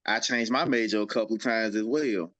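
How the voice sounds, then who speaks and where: A man's voice: fairly low pitch, a little fast, a bit bright, dry recording. A man in his thirties co-hosting a podcast, speaking in a reflective, earnest way and repeating key sayings for emphasis. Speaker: podcast co-host. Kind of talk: casual conversational podcast chat. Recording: slightly dull lo-fi sound.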